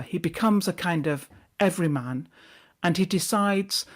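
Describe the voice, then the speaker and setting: A woman giving a scripted scholarly introduction to a play, in measured, emphatic phrases. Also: low voice.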